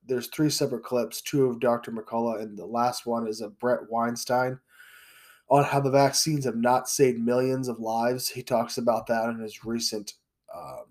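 A man's voice: mid-range voice, a little mellow. A man hosting a podcast, talking bluntly and a little congested from a recent cold. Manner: blunt, opinionated, with fillers. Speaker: podcast host. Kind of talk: casual podcast monologue.

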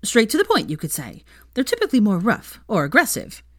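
A woman voicing a brash, excitable young woman who teases and gossips smugly in an audiobook-style story. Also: medium pitch, fairly quick, voice pushed up. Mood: excited, chatty. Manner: excitable, smug, foul-mouthed.

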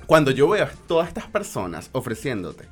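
A man's voice: medium pitch, big pitch swings, fairly quick, breathy edge, faint music behind. A man hosting a live radio interview in a conversational way, often repeating and restarting his phrases. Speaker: radio show host.

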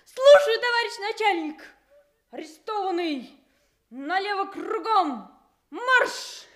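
An overzealous junior policewoman in a radio play. Her voice is piercingly high and squeaky, with clipped military phrasing and a comically overwrought temper. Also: big pitch swings, slow pace, a bit bright, exaggerated voice. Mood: zealous, barking.

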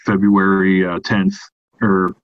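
A man co-hosting a podcast, giving a casual film review and thinking aloud in a halting way. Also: sits low, monotone, dry recording, muffled recording. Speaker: podcast co-host.

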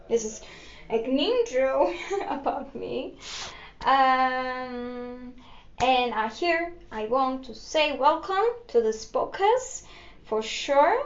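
A young woman with a Greek accent, hosting a casual solo podcast, rambling and upbeat with lots of fillers and giggles. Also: high-pitched. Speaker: podcast host. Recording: echoey room, slightly dull lo-fi sound.

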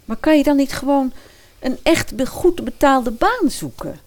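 Woman doing a nervous schoolgirl for a radio drama, high pitched n very lively intonation. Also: a little slow. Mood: puzzled. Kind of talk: radio play acting.

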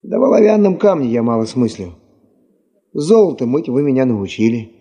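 An actor playing a loyal, deferential gold panner with a folksy way of talking in a radio drama. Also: male voice, mid-range voice, sing-song, moderate pace, dark tone, husky, muffled recording. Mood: doubtful. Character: humble, loyal male gold panner, homesick for work.

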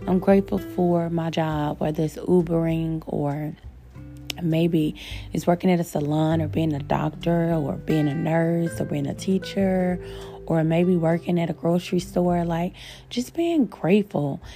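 A woman's voice: deep voice, measured pace. A woman hosting a podcast in a casual, encouraging way, often speaking of faith and gratitude to her listeners. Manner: warm, encouraging, spiritual, talks to listeners as "my babies". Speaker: podcast host. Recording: faint guitar music behind.

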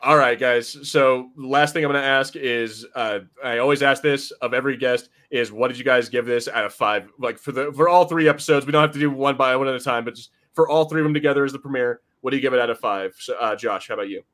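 A man's voice: mid-range voice, fast, few pauses, fairly bright tone. A man hosting a pop-culture podcast, chatting informally and rambling, with casual swearing. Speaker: podcast host.

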